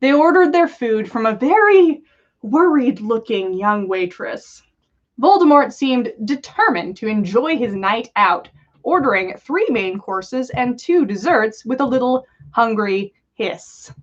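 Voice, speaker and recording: A woman's voice: fairly high pitch, expressive, measured pace, lo-fi sound. A woman narrating a comic story aloud as a podcast host.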